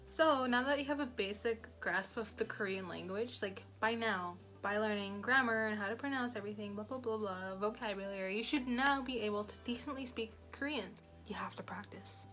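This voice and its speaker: A woman's voice: mid-range voice, a bit bright. A young woman giving casual language-study advice in a rambling, chatty way, full of fillers. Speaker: language learning vlogger. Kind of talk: casual talking-head advice.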